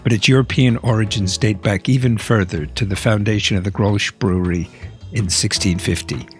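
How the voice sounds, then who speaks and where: A man hosting a podcast, reading a prepared introduction and asking a guest questions in a clear, prepared way. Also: lowish voice, moderate pace, faint music behind. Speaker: podcast host. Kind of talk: scripted intro and interview questions.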